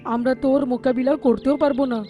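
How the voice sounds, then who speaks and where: A woman's voice: high voice, steady tone, fairly quick, lo-fi sound, natural voice. A woman voicing a resigned male monkey in a children's cartoon fable. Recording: background music under the voice.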